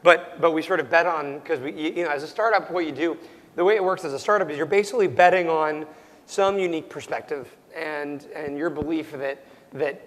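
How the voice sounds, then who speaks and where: A young man, a startup founder, answering interview questions loudly and almost yelling, with plenty of ums and restarts, his voice worn and cracking. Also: fairly high pitch, fairly quick, big-room sound, poor audio. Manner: loud, nearly yelling, full of ums and restarts. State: losing his voice, prone to cracking.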